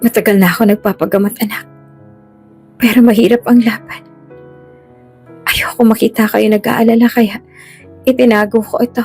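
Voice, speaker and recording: A woman voicing an ailing, tender mother in a drama story, speaking gently, wearily and earnestly. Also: a little high, flat delivery, normal speed, long pauses, slightly rough, faint music behind, voice pushed up. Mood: sad, confessional. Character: ailing, tender mother Elena, hiding her illness.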